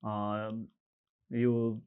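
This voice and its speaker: A man from Nepal walking viewers through an online form step by step, pausing often with uh and um as he reads out codes. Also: fairly low pitch, monotone, clear, resonant tone, narrow, tinny audio. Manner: halting, frequent uh and um fillers, reads out codes slowly. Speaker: tutorial presenter. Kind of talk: screen-recorded how-to walkthrough.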